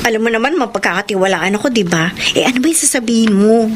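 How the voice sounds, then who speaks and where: High-voiced gossipy Filipino woman, acted. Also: animated, fast, noisy background, natural voice. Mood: shocked, curious. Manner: exclamatory, dramatic, gossipy. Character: gossipy, blunt nightclub hostess friend, easily shocked. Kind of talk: Filipino radio drama acting. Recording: music behind the voice.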